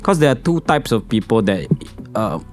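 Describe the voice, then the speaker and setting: A young man with a Singaporean English accent, speaking calmly and evenly as a financial consultant guest on a podcast. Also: moderate pace, soft background music. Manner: calm, low-key, says he speaks monotonously. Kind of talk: casual podcast chat.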